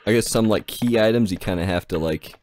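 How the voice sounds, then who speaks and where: A man's voice: on the low side, fairly quick, a little mellow. A man giving casual, profane and amused commentary as he plays a video game. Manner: amused, giggly, easygoing. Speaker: game streamer, channel host. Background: game music.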